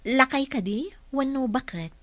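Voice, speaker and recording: An actor playing an earnest young single mother looking for work in a radio drama, speaking gently, patiently and politely. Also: sits high, animated, unhurried, no echo. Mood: curious.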